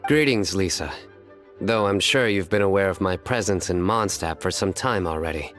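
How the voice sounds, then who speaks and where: Low, deadpan, stern young officer. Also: male voice, faint music behind. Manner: deadpan, formal, measured. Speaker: video game character voice. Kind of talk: scripted game dialogue acting.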